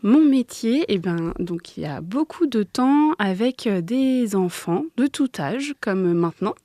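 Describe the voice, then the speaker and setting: Mellow-voiced woman, dry close sound. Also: mid-range voice, lively intonation, measured pace, dry recording. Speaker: interview guest, agricultural association organiser. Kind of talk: informal radio interview, explaining simply to children. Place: close, dry recording for a radio workshop.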